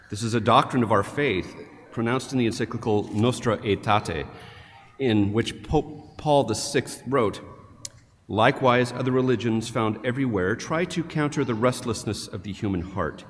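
Older deacon preaching in a cathedral. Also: male voice, medium pitch, a little hiss or hum. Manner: measured, reads from a prepared text. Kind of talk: homily. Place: large cathedral, indoors. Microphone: headset mic, standing at a lectern. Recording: long reverb of a large church.

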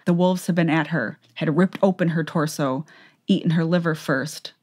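A woman narrates a fantasy story as in a dramatic audiobook. Her voice is pitched low. The delivery is scripted storytelling. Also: normal speed, a little mellow.

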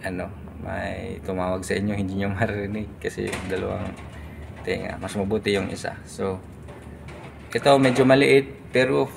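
A man in his thirties with a Filipino accent, giving a casual product review in short, halting bursts as he searches for words. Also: lowish voice, gravelly, noisy background. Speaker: vlogger reviewing gear. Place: large tiled indoor hall. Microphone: phone at arm's length.

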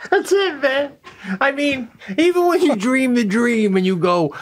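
A man's voice: very high-pitched, measured pace, slight background noise. A middle-aged man co-hosting a podcast, talking animatedly in a casual chat with frequent swearing and laughter, recorded in a small studio on a mic on an arm. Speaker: podcast co-host.

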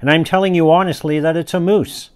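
A man's voice: a little high, fairly even intonation, a little fast, natural voice. A man voicing an alarmed sergeant-at-arms in a rhyming storybook tale. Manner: urgent, breathless reporting.

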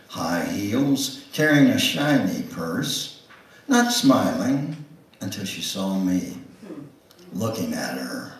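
Slow, theatrical old man, echoey hall. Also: on the low side. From Knoxville, Tennessee, United States. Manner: slow, theatrical delivery with long pauses. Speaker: guest speaker, author. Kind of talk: dramatic reading of a memoir at a pulpit. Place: church sanctuary, indoors. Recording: echoey hall, distant microphone.

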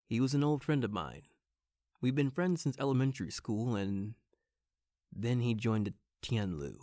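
An actor playing a wry hard-boiled private detective in a noir audio drama, both narrating and in dialogue. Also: male voice, mid-range voice, expressive, moderate pace, mellow, dry recording, studio quality, natural voice.

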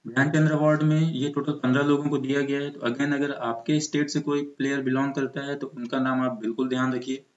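A man's voice: medium pitch, little pitch movement, brisk, few pauses. An Indian man teaching exam preparation in an explanatory lecture style, mixing in English terms. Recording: muffled, echoey room recording.

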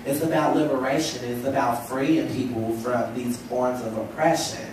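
A woman's voice: rumbling low, fairly even intonation, moderate pace, noisy background. Brief: young woman, stuffy head cold, impassioned panel speaker, heard distantly in a very echoey hall. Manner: emphatic, rhetorical, lots of "you know". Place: lecture hall stage at a table. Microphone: table stand mic. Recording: echoey hall, mic from far off.

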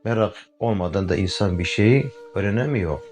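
A man gently telling a fairy tale to a child, addressing the listener directly. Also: lowish voice, normal speed. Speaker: storyteller. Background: faint flute music.

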